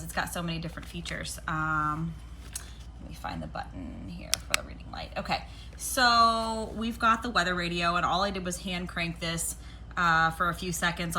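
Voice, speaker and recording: A woman's voice: fairly low pitch, background noise. A woman giving a casual, chatty product demonstration, rambling a little as she thinks aloud. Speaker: product reviewer.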